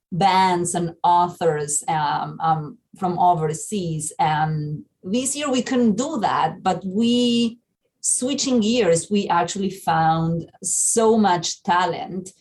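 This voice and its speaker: Guest: Argentine-accented woman, slow, hesitant delivery with fillers, lowish voice. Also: darkish tone. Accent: Argentine Spanish. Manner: hesitant, many fillers and restarts. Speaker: festival programming manager. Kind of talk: interview, casual explanation.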